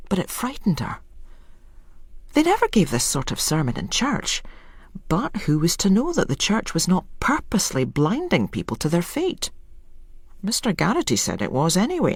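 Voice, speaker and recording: Husky, expressive story narrator. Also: mid-range voice, no echo, studio quality. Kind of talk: scripted literary narration.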